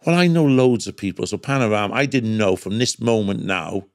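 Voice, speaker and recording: Deep, gravelly Cardiff man telling stories. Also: expressive, fairly quick, dry recording, clean studio sound. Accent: Cardiff Welsh. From Cardiff, Wales. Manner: emphatic, repetitive, often tags statements with "right?". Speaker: podcast guest. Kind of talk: casual anecdotal storytelling.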